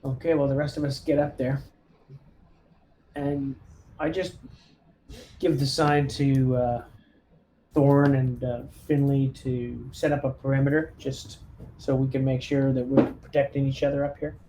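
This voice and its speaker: Male tabletop player, relaxed mellow tone, mid pitch, casual planning talk, faint noisy backdrop. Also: a little slow, pauses often. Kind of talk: casual in-game planning chat. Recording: noticeable background noise.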